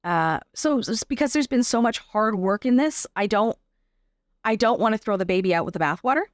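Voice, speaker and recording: A woman's voice: on the high side, lively intonation, fairly quick, no room sound, clean studio sound. A Canadian woman giving reflective, explanatory answers as a guest in a conversational interview. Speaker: interview guest, performer and advocate.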